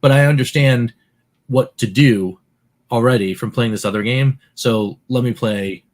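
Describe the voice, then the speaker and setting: A man co-hosting a podcast, explaining at length in a relaxed, casual way with lots of filler words. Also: mid-range voice, measured pace. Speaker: podcast co-host. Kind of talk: casual chat.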